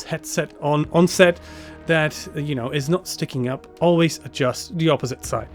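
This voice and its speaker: A man giving a casual, unscripted gear review to camera, enthusiastic but halting, often repeating words and phrases. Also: on the high side. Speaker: product reviewer.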